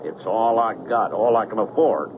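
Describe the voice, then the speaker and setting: An actor playing a gullible, plain-spoken man, slow and folksy, in a 1970s radio drama. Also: on the low side, lightly acted. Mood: resigned, hopeful. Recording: very noisy, narrow-band 1970s radio recording.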